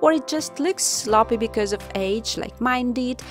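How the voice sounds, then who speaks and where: A woman calmly narrating a step-by-step craft tutorial as the channel host, in voice-over. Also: a little high, measured pace. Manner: calm, instructive. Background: soft background music.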